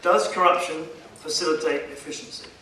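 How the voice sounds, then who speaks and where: A man gives a formal conference speech in a very echoey hall, picked up at a distance from the microphone. His voice is bright and forceful, and he speaks emphatically, posing rhetorical questions and pausing for effect. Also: fairly high pitch. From India. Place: conference hall, addressing a seated audience. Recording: echoey hall, distant mic, faint noise.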